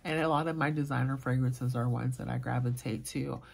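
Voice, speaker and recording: A soft-spoken woman chatting casually as a vlogger, murmuring her thoughts quietly as she talks. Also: extremely low pitch, steady tone, brisk, creaky, slightly rough. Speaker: fragrance vlogger. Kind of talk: casual chat.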